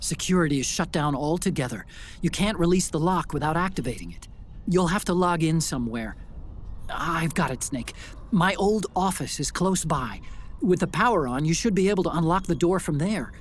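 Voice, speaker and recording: A man as an animated, nerdy tech sidekick. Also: on the high side, moderate pace, a little hiss or hum, lightly acted. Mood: businesslike. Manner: chatty, animated, teasing. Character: earnest, excitable nerdy male tech sidekick. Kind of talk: video game cutscene acting.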